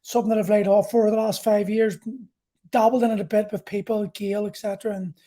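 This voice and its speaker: A man in his forties with a soft, mellow tone hosts a casual solo podcast. He talks like a coach sharing his own experiences, candid and loosely rambling, with salty language. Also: sits high, level tone, fairly quick. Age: 40s. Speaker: podcast host and martial arts coach. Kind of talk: casual solo talk about personal experience.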